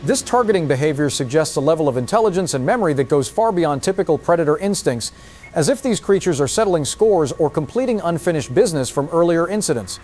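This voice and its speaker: Higher-voiced grave TV newsman, played by a man. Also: lively intonation, a little mellow. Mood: grave, urgent. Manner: formal, measured, grave. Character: grave male local TV newsman reporting catastrophe. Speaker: TV news reporter. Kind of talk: emergency news broadcast, scripted horror audio drama.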